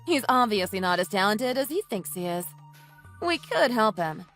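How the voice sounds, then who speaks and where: A woman's voice: medium pitch, very animated, normal speed, a bit bright, faint music behind. A woman playing an angry, resolute wife in a radio drama, with a firm and scornful manner. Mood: cold, resolute. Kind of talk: dramatized story dialogue.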